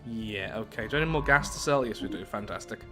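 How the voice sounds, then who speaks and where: A man's voice: lowish voice, expressive, fast, over music. A man giving casual commentary on a strategy game playthrough, thinking aloud as he goes. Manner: thinking aloud, musing to himself.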